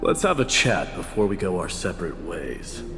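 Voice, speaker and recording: A man playing a grave, proud warrior who tells an old legend in a measured, formal way for a video game. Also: deep voice, very animated. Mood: solemn. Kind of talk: dramatic video game storytelling. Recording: reverberant hall, music behind.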